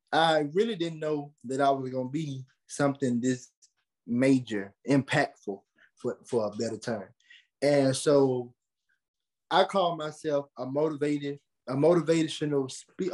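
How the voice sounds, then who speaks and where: A man's voice: medium pitch, unhurried, darkish tone. A man, answering interview questions conversationally. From South Carolina, USA. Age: late teens. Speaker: interview guest, podcaster and motivational speaker.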